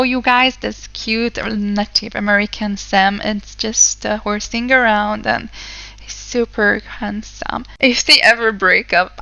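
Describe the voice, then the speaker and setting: Young non-native woman, rambling game commentary host; bright, crisp voice, dry close mic, slightly dull sound. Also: a little high, dry recording. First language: not English. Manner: chatty, rambling, many fillers. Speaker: let's play host. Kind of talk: casual gameplay commentary.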